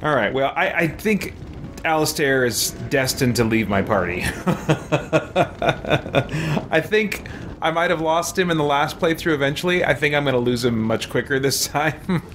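A man in his forties giving casual live gameplay commentary in a halting, musing way. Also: a little high, lively intonation, a bit bright, a little hiss or hum. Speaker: game streamer. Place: small room lined with shelves, indoors. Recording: faint game ambience behind.

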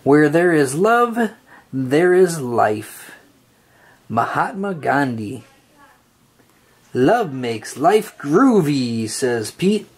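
This is someone reading a picture book aloud for children. The voice is husky and moves up and down in a lively, sing-song way. The delivery is slow, with phrases stretched out for young listeners. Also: medium pitch. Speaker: storybook reader.

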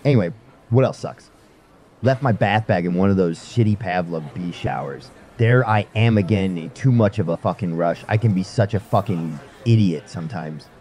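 A man's voice: low-pitched, moderate pace, dark tone, close to an everyday voice. A man playing a blunt, grumbling, irritable space traveler in casual audio drama dialogue. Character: grumbling, foul-mouthed male space traveler.

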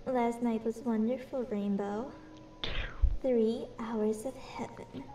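A woman's voice: a little high, steady tone, slow pace. A woman playing a young pony princess, playful and bookish, in an amateur audio drama. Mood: playful, tender. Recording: echoey, distant home mic under loud music.

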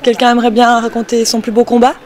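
A woman's voice: on the high side, monotone, fast talker, a little mellow. A woman interviewing a fight team, asking polite questions on a handheld mic. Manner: polite, prompting. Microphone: handheld mic with windscreen.

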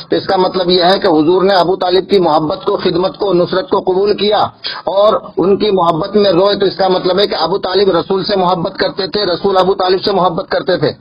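A male Islamic cleric debating religion over a recorded phone call, insistent and argumentative, pressing his points by repeating them, with a husky voice. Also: high-pitched, steady tone, fairly quick.

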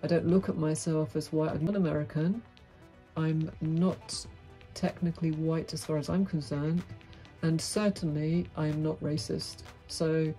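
A woman's voice: dark tone, low-quality recording. A middle-aged woman, talking frankly and wryly to camera in a small dim room. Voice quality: deep voice. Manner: outspoken, frank, wry. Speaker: vlogger. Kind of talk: candid monologue to camera. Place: small dim indoor room with brick wall.

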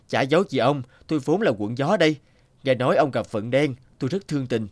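Folktale outlaw male actor: bold, honest, plain-spoken, mid-pitched with lively melody. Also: a little fast, dry recording, natural voice. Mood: proud, sincere.

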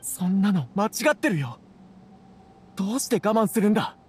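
A man's voice: high-pitched, animated, normal speed. A man playing an earnest, troubled young scholar with polite speech in a Japanese game. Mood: indignant. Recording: clear background noise, insect ambience.

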